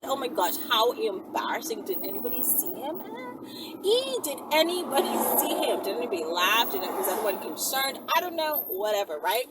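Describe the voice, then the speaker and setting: Female vlogger, high lively voice, chatty and excitable storyteller, recorded at a distance over loud vehicle noise. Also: moderate pace. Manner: animated, giggly, exclamatory. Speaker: vlog host. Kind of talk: casual chatty storytelling to viewers.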